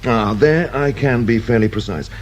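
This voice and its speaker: Actor as breezy, genial British pathologist, medium pitch. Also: male voice, normal speed, natural voice. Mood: matter-of-fact. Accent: British English. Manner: breezy, avuncular, matter-of-fact. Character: genial, unflappable, self-satisfied male pathologist. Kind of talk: radio drama acting. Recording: hissy old radio drama recording.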